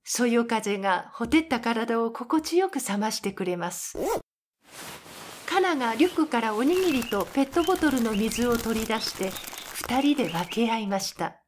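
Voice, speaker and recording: A woman voicing a calm storyteller, narrating a scripted Japanese audio drama. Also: a little high, normal speed, noisy background, lightly acted. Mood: calm narration.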